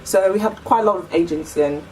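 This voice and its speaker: Young woman vlogger, deep low voice, chatty and earnest, slightly off-mic with faint hiss. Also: fairly quick, a little mellow, far from the mic, rough recording. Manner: chatty, earnest, many "like" and "you know" fillers. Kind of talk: casual chat to camera.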